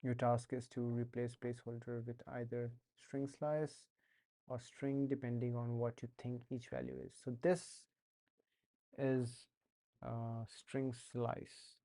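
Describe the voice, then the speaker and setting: Slow, hesitant Indian man. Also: medium pitch, flat delivery, dark tone. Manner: hesitant, many fillers and restarts. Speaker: streamer learning to code. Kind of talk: casual live-stream chat while coding.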